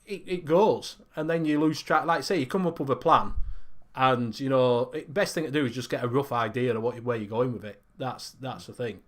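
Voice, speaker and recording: A man's voice: medium pitch, brisk. A man hosting a casual online chat show, easygoing and talkative, on a headset. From Northern England. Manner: chatty, easygoing. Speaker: host.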